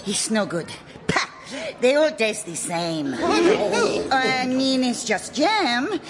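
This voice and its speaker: A woman's voice: high voice, big pitch swings, slow, heavily acted. An actress playing a haughty, demanding woman in a radio sitcom, theatrical and dismissive. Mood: scornful. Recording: loud studio audience behind.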